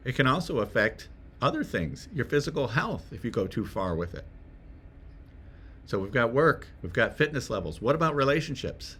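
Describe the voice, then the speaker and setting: A man's voice: medium pitch, a bit bright, husky. A man giving a conversational self-help talk to the viewer, often asking rhetorical questions and checking in with "right?". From Swedish family roots. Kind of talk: direct-to-camera motivational talk.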